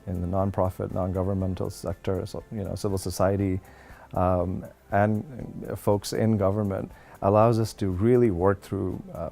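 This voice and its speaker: A man giving an interview testimonial in halting, formal wording. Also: low-pitched, vocal fry, warm tone.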